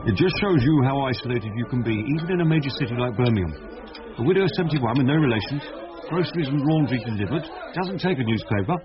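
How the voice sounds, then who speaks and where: An actor playing a wry, pragmatic police investigator in a radio drama. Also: male voice, medium pitch, expressive, fast, lo-fi sound, over music, natural voice. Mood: wry, matter-of-fact.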